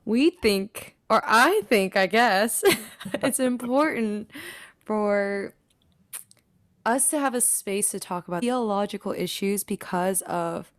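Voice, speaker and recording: A woman's voice: medium pitch, dry recording, studio quality. A woman from the US Pacific Northwest hosting a casual podcast, playful and often laughing. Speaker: podcast host.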